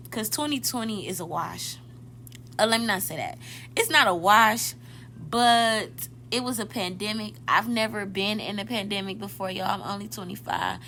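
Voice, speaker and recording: Bright-voiced young woman, chatty. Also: medium pitch, slight background noise. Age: 20s. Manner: chatty, addresses listeners directly, corrects herself mid-thought. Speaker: podcast host. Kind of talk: casual motivational podcast talk.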